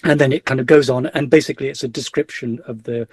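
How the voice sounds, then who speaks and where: A man's voice: medium pitch, steady tone, fast, breathy edge, dry recording. A middle-aged man giving an academic webinar lecture over a video call, speaking hesitantly with many fillers and restarts. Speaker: guest lecturer.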